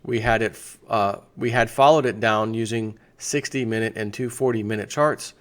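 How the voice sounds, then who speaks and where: A man with a fairly low voice presents online financial news. He reads from a written piece in a conversational way, stepping out of it to add his own remarks and rhetorical questions. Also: normal speed. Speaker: host of a financial news video channel. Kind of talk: reading an article aloud with off-the-cuff commentary.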